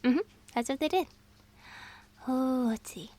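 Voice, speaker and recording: A woman in an intimate girlfriend roleplay, rambling through fun facts in a scatterbrained, excitable way. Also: sits high, slow pace. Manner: scatterbrained, excitable, jumps between topics. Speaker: audio roleplay performer.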